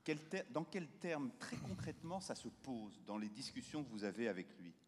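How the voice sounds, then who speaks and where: Halting male moderator in a hall. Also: mid-range voice, sing-song, slight background noise. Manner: halting, many fillers when posing questions. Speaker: panel moderator. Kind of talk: moderating a round table. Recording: reverberant conference hall.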